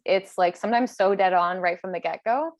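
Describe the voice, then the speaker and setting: A woman's voice: mid-range voice, brisk, no room sound. A woman with a mid-range voice hosts a casual podcast. She talks conversationally and sometimes reads aloud. The sound is very dry, with no room around it. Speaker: podcast host.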